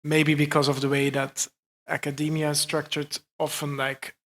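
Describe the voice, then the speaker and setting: A man's voice: fairly high pitch, completely flat delivery, moderate pace, a bit bright. A man on a conference panel, speaking thoughtfully and building up his points as he goes. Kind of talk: panel discussion.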